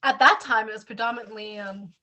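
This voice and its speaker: Bright-voiced Canadian woman chatting. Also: fairly high pitch, brisk. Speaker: co-host. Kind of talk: casual chat.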